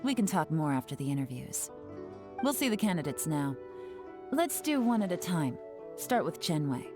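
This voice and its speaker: A woman's voice: low voice, big pitch swings, normal speed. An actress voicing a composed, businesslike woman agent who explains an assessment in a video game. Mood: measured. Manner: composed, businesslike, dryly teasing. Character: cool, shrewd adult woman agent. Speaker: assessment officer. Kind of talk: game voice acting. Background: soft game music.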